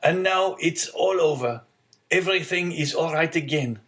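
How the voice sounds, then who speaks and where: A man voicing a frail, gentle old father in an audiobook story, meek and halting as he placates. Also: a little high, pitched above the performer's own voice, natural voice. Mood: gentle, placating.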